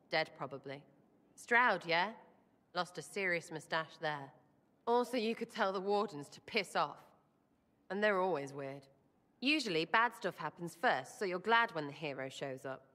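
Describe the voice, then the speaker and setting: A woman's voice: on the low side, expressive, moderate pace, sharp tone. An actress playing a cheeky, impulsive young woman rogue in a video game, talking in slangy, irreverent, blurted fragments. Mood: blunt, chatty.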